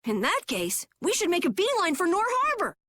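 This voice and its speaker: A woman voicing an excitable, boastful young boy in a video game, earnest and whiny, easily flustered. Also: very high voice, moderate pace, dry recording, full character voice. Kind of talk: English video game dub acting.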